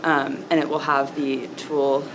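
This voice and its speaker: A young woman engineering student calmly explaining the design review process in a university lab. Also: a bass voice, a little slow, background noise. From United States. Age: young adult. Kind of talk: casual explanation.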